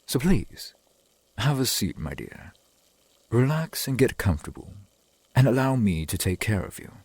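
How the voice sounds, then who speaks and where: A man playing a courtly, doting centuries-old vampire boyfriend in an ASMR roleplay, speaking in formal, archaic, gently attentive phrases. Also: mid-range voice, big pitch swings, unhurried, husky, exaggerated voice.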